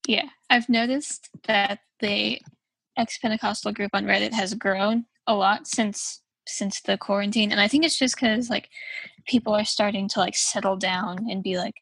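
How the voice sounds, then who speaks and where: A woman's voice: medium pitch, fairly even intonation, normal speed, vocal fry, fairly bright tone, breathy edge, dry recording, low-quality recording. A woman speaking as an interview guest, chatty with many filler words. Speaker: guest, former church member. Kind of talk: casual interview.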